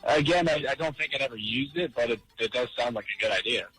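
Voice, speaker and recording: A man's voice: mid-range voice, fast, sharp tone, soft background music. A man answering a phone call, polite and easygoing, with some hesitation. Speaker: prank call target. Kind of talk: phone conversation.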